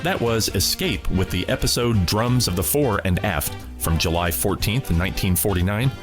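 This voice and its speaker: Deep-voiced man hosting a radio program. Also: moderate pace, music in the background. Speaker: radio rewind host. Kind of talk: scripted introduction.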